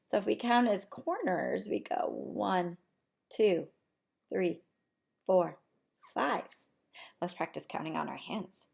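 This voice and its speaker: Woman, preschool teacher, slow pace, creaky voice, medium pitch, simple encouraging explanations for young children. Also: animated, a little mellow. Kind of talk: read-aloud and shape activity lesson for young children.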